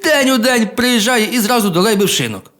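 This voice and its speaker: A man telling a humorous folk tale in a colloquial, expressive, folksy manner. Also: very high voice, brisk. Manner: colloquial, expressive, with chuckles and exclamations. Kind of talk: folksy humorous oral storytelling. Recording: music behind the voice.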